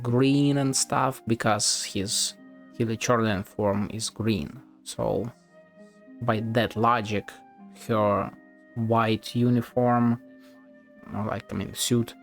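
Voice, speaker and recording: A male game streamer with a mid-range voice talks casually as he plays. He thinks aloud in a sardonic, sweary way, with faint music behind him. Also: medium pitch. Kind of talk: casual play-by-play game commentary.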